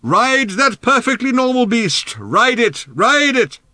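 A man voicing an imperious old beast herder in a storybook, shouting with drawn-out, emphatic words. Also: very high-pitched, very animated, no echo, clean studio sound, voice pushed up, heavily acted. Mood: urgent shouting.

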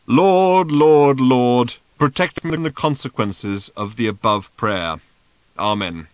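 A man voicing a cryptic, mournful old male seer who delivers slow, drawn-out, sing-song pronouncements. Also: medium pitch, lively intonation, a bit bright, no room sound, lightly acted.

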